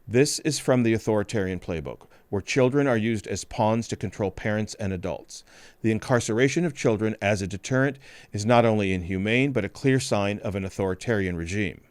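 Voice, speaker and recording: A male podcast host reading a scripted news report in a grave, measured way. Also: lowish voice, clear, resonant tone, dry recording.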